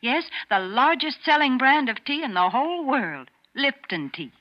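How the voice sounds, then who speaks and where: A woman playing a prim, unflappable, cheerful sponsor spokeswoman on 1940s radio, polished and brisk. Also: high-pitched, very animated, over music. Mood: cheerful pitch. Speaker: sponsor spokeswoman and host's foil. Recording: dull vintage radio broadcast.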